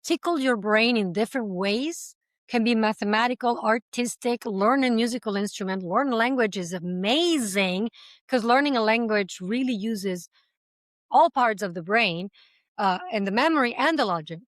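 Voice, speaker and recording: A woman's voice: a little high, animated, normal speed, no echo. Passionate woman, dry close sound. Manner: passionate, talkative, uses everyday examples; speaks several languages. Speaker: language school founder, interview guest. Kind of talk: conversational interview answers.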